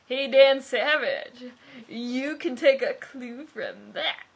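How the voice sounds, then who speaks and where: A woman's voice: high-pitched, slow, vocal fry, slightly rough. A young woman in her twenties giving a sarcastic, pedantic comic review of a book.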